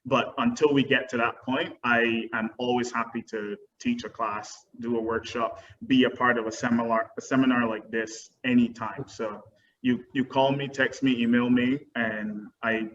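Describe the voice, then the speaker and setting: A man's voice: mid-range voice, level tone, normal speed, fairly bright tone. A young man, a guest dance teacher, answering webinar questions earnestly with frequent ums. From Barbados. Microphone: video call. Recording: dull distant video-call audio with faint noise.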